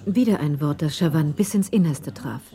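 A woman's voice: low-pitched, moderate pace, mellow. A woman reading scripted narration as the storyteller of a German radio crime drama.

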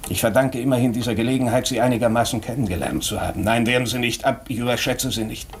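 An actor playing an earnest, probing doctor in a German radio drama, speaking with deliberate, morally insistent urgency. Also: male voice, mid-range voice, steady tone, slightly rough. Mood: grave, calmer.

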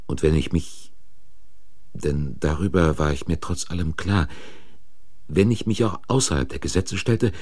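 An actor playing a brooding, intense man who confesses a crime in deliberate, husky radio-play narration. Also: extremely low pitch, a little mellow, no echo, studio quality, full character voice. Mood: fervent. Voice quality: gravelly, husky.

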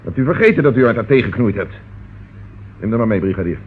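An actor playing a decisive, businesslike police inspector with brisk authority in an old radio crime drama. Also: male voice, lowish voice, animated, husky. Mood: stern, accusing. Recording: muffled, noisy old radio recording.

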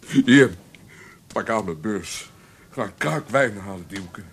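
A man's voice: on the low side, animated, slow pace. An actor playing a heartbroken, guilt-ridden man with emotional, self-pitying delivery in a radio play. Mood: bitter, agitated. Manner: emotional, self-pitying, swinging from subdued to agitated. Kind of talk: Dutch radio play acting. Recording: hissy old radio play recording.

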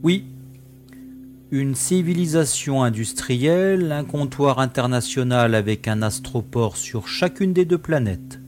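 A man's voice: mid-range voice, lively intonation, unhurried, darkish tone, faint music behind, lightly acted. Man playing a wry, practical space pilot. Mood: reading out data. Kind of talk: science-fiction audio drama acting.

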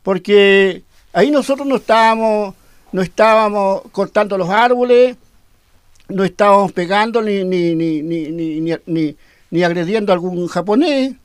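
A man's voice: sits high, moderate pace, clean tone, dry recording. A man from Chile, a Mapuche cacique, answering interview questions firmly, often repeating and stumbling over words.

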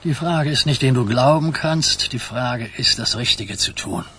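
An actor playing an older Mafia boss in a radio drama, reflective and quietly commanding, with a rough voice and deliberate questions. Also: male voice, mid-range voice, slight background noise. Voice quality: husky, rough.

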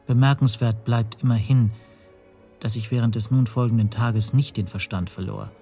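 Male actor as dry sober narrator, mellow mid-pitched voice, measured radio play storytelling, faint music. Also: steady tone, lightly acted. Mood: wry reflection. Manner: measured, dryly understated. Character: wry, sober first-person narrator, foreign traveller in trouble. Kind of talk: scripted first-person radio play narration.

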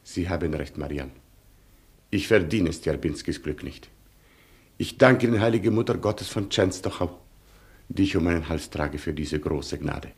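An actor playing a proud, tormented Polish colonel in a German radio play, declaiming solemnly. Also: male voice, low voice, moderate pace, husky. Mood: solemn, moved.

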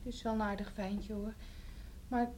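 An actress playing a frank, cheeky young village daughter in a Dutch radio play, artless and gently teasing. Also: female voice, mid-range voice, flat delivery, moderate pace, close to an everyday voice. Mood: fond, teasing. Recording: noisy old radio drama recording.

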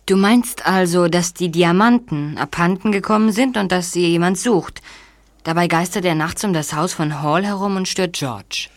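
A woman's voice: on the low side, moderate pace, close to an everyday voice. A woman voicing a casual young boy detective in a German audio drama, with a blunt, colloquial manner. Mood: blunt, speculating.

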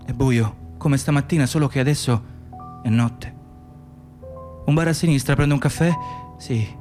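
An actor playing an exhausted, anxious immigrant nurse in a radio play, speaking in fragmented, repetitive phrases. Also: male voice, mid-range voice, moderate pace, darkish tone, soft background music, lightly acted. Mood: weary, anxious. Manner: fragmented, self-muttering, repetitive. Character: exhausted, anxious Moroccan immigrant nurse, man, muttering inner thoughts. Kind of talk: radio theatre interior monologue and dialogue.

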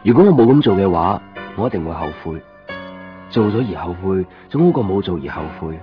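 Low-voiced brooding young man, muffled radio drama. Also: sing-song, brisk. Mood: anguished, resolute. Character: earnest, brooding young man, 天朗, working at a shop. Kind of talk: radio drama dialogue and inner monologue. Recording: muffled 1990s radio drama under music.

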